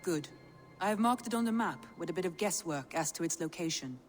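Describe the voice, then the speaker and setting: An actress playing a shrewd, composed Viking clan advisor in a video game, measured and businesslike. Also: female voice, on the low side, lively intonation, faint music behind, natural voice. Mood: composed, advising. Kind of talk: dramatic video game acting.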